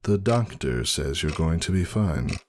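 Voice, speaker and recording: A man playing a grieving pony lover in a dramatic story, softly reassuring yet quietly unhinged, in measured speech. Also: low voice, animated, noisy background. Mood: quietly grave.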